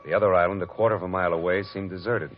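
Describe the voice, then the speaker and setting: An actor playing a wry, wisecracking hard-boiled private detective who narrates a 1940s radio drama. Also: male voice, deep voice, steady tone, rapid-fire, close to an everyday voice. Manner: dry, wisecracking, clipped. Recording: lo-fi old radio broadcast with music.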